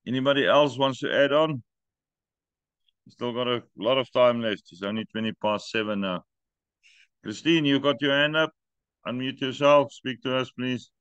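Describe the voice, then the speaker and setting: A man's voice: mid-range voice, moderate pace, long pauses, a bit bright, smooth, no echo, muffled recording. A middle-aged man hosting a video call meeting, friendly and joking as he invites others to speak. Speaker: group meeting host. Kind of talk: casual video call chat. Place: home room with stone wall. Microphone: laptop webcam video call.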